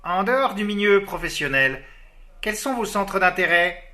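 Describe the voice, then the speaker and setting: An actor playing a polite, businesslike recruiter who conducts a job interview in formal, courteous tones, in a scripted learner dialogue. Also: male voice, sits high, expressive, moderate pace, pushed and bright.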